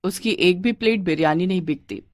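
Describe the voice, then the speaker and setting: A woman's voice: on the low side, a little fast, no room sound. Woman storyteller, dry studio sound. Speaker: story narrator. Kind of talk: scripted narration of a moral folk tale.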